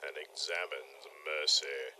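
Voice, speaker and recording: Low, slow, bright-edged male narrator. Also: steady tone, a little hiss or hum. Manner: dramatic, measured storytelling. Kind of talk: mock-gothic scripted comedy narration.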